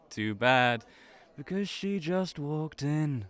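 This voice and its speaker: A man's voice: on the high side, expressive, takes it slowly, fairly bright tone. A man playing a teasing young ram hybrid who works in a cafe, with playful, needling banter in an audio roleplay.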